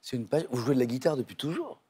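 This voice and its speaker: A man hosts a televised quiz from a studio, and his husky voice is a little hoarse today. He chats and teases his contestants with many fillers and restarts. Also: medium pitch, big pitch swings, fast talker, dark tone. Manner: chatty, teasing, with frequent fillers and restarts. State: voice a bit hoarse. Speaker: game show host. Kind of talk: friendly candidate introductions.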